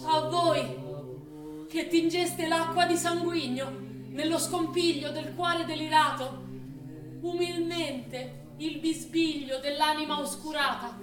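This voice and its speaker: An actor playing a grave poetic orator, reciting solemn, incantatory mourning verse in a theatre piece. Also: male voice, very high-pitched, steady tone, slow. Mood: solemn, fervent. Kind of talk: stage poetry recitation in radio theatre. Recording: roomy, distant stage recording with hiss.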